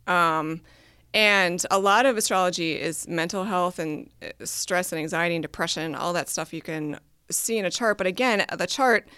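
A woman's voice: lowish voice, normal speed, sharp tone, smooth, no room sound, clean studio sound. A woman hosting a podcast who chats casually, rambling enthusiastically and laughing often as she explains her work as an astrologer. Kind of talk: casual conversation.

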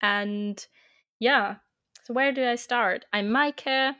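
A woman's voice: a little high, takes it slowly, sharp tone, dry recording, clean studio sound. A woman in her twenties from Germany with a German accent, casually introducing her podcast as host in English, her second language. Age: 20s. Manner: chatty and upbeat, with fillers and laughs.

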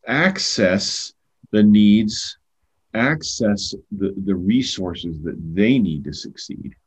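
A man's voice: fairly low pitch, slightly rough. An older man talking earnestly as a podcast guest, often repeating words as he starts a thought. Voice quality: loud voice. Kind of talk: conversational podcast talk. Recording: dull lo-fi sound.

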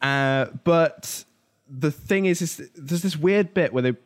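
A man co-hosting a podcast in casual chat about films, explaining things in a halting, rambling way full of tangents. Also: a little high. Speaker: podcast co-host.

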